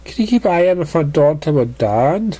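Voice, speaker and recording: A narrator voicing a refined, fussy female fashionista pony with theatrical, drawn-out delivery for a story. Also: mid-range voice, very animated, warm tone, pitched above the performer's own voice. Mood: gleeful, busy.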